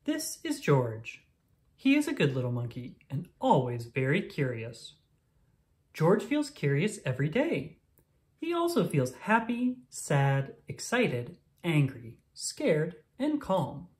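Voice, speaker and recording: A woman reading a children's picture book aloud in an expressive, deliberate storytelling manner for young listeners. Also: sits low. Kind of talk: scripted read-aloud narration of a picture book.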